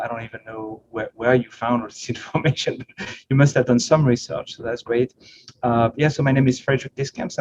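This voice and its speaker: A middle-aged man with a French accent giving an informal guest talk to a class, with a wry, self-deprecating and hesitant delivery. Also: medium pitch. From northern France. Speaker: guest speaker, startup CEO. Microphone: video call microphone. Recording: noisy, muffled video call audio.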